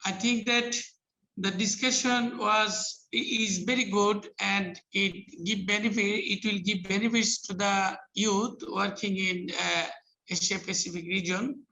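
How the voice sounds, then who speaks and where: Bright, gravelly-voiced man from Bangladesh. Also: sits high, fairly even intonation, low-quality recording. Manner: formal, halting, repeats greetings. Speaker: government official attendee. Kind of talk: formal remarks on a video call. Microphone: video call.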